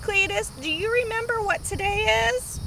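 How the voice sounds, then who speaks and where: Woman reading as high-voiced nagging mom. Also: moderate pace, creaky, fairly bright tone, slight background noise, full character voice. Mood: firm, nagging. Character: firm, exasperated mother of a messy schoolboy.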